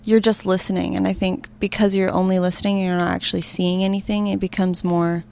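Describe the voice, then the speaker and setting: A woman's voice: medium pitch, level tone, fairly quick, gravelly, darkish tone. Young female student interviewee, mid-range voice, informal conversational answers. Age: young adult. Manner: informal, frequent "like" and "kind of". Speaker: student interviewee, live sound operator.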